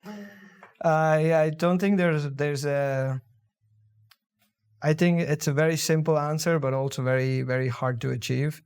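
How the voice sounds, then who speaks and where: A man's voice: a little high, measured pace, pauses often, darkish tone, clean tone. A man, a guest in a podcast interview, explaining earnestly with many fillers and restarts. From India. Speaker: guest, accountant.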